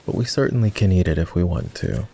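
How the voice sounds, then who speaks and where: A man voicing a polite, self-deprecating old vampire in an intimate roleplay ASMR style, with formal, hesitant phrasing and a soft, husky delivery. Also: deep voice, fast, soft background music. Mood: amused, courteous. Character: polite, self-deprecating, gently teasing ancient male vampire.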